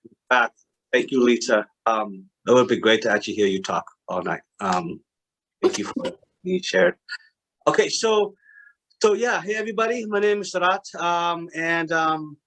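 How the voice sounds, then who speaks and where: A man in his thirties with a Boston accent, speaking earnestly as a panelist on a video call, with many pauses and restarts. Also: a little high, animated, fairly bright tone, poor audio. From Cambodia.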